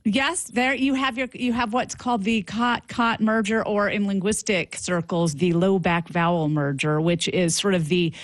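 A woman in her fifties, a professor guest, explains things brightly and with enthusiasm. Her voice is mid-pitched, and the sound is dry and close to the mic. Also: fairly even intonation, moderate pace, few pauses, dry recording. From Memphis, Tennessee.

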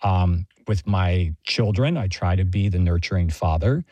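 A man's voice: low-pitched, a little slow, warm tone, no echo. A middle-aged American man speaking as an interview guest, explaining ideas conversationally in a thoughtful, professorial way. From Texas, United States. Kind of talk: conversational explanation and personal anecdote.

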